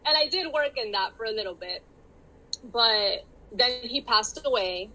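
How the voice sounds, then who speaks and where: A woman's voice: a little high, lively intonation, a little slow, sharp tone, low-quality recording. A Dominican-born woman with a Miami Cuban-tinged accent, chatting casually as a guest author and laughing often. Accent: Miami Cuban-inflected English. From Dominican Republic. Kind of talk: casual interview conversation.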